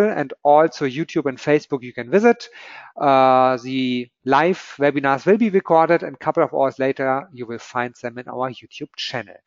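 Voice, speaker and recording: A man with a German accent introduces an online trading webinar in a promotional, upbeat tone. His voice sits a little high for a man. The sound is dry and muffled, as if through a webinar feed. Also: dry recording. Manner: upbeat, promotional, slightly non-native phrasing. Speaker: webinar host.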